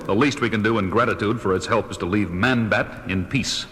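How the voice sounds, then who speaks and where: Guy doing calm stern superhero, lowish voice, formal n measured, audio drama with music behind. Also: close to an everyday voice. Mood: grave, explanatory.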